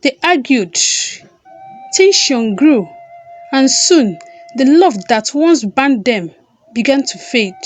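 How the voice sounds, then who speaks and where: A woman narrating a scripted folktale as a storyteller, in a measured and deliberate manner with pauses between phrases. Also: sits high. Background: background music.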